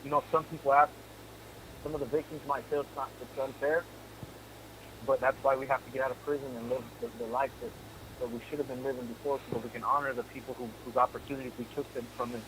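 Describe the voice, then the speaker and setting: A man's voice: mid-range voice, flat delivery, fast, a bit bright. A man in his forties calling in from prison, talking earnestly and repeating words as he starts each thought. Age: 40s. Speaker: incarcerated guest on a call-in show. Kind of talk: casual phone chat. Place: prison phone. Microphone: phone line. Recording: faint noise on a prison phone line.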